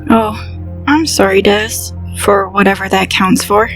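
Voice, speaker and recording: A woman's voice: medium pitch, steady tone, a little slow, fairly bright tone, soft background music, natural voice. An actress playing a kind young woman gamer who speaks sincerely and reassuringly.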